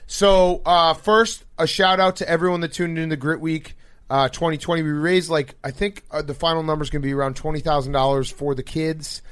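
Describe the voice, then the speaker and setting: A man's voice: on the high side, steady tone, fairly quick, fairly bright tone, clean tone, no room sound. A sleep-deprived man hosting a podcast with casual, blunt, slangy sports banter and joking. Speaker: podcast host.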